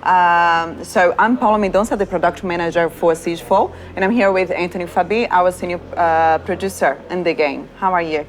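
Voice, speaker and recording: A cheerful woman with a Brazilian accent hosts a casual studio chat, reading out viewer questions and laughing easily. Her voice sits a little low for a woman, and she keeps the talk light and friendly. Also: moderate pace, hardly any pauses. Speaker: product manager hosting a community Q&A. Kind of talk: casual video chat with a colleague.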